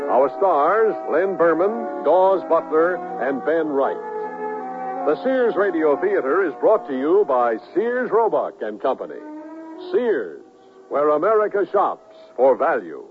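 Animated male radio announcer, highish voice. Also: unhurried. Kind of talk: formal scripted radio announcing. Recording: narrow-band radio broadcast, music behind.

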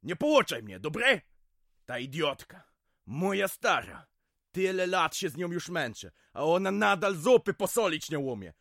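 A man's voice: a little high, sing-song, a little slow, creaky, fairly bright tone, dry recording, clean studio sound, heavily acted. An actor playing a drunken, violent middle-aged village man in an audio drama, ranting vulgarly with swearing and threats. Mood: menacing, bitter.

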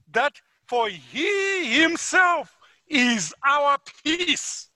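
A man from Zimbabwe with a Zimbabwean accent, preaching a sermon as a guest in a church, with measured, deliberate delivery that rises for emphasis. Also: very high voice, pushed and bright, no room sound, clean studio sound.

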